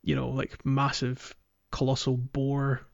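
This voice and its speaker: A man's voice: mid-range voice, completely flat delivery, takes it slowly, gravelly, no room sound. Man, dull lo-fi recording. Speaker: podcast host. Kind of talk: casual game playthrough chat.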